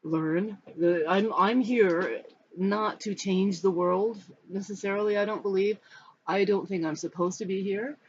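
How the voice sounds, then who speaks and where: An American in their fifties from Los Angeles, giving a personal talk to camera in a reflective, halting, rambling manner. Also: mid-range voice, fairly even intonation. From Los Angeles, United States. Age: 50s. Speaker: spiritual storyteller. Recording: dull, muffled sound with background noise.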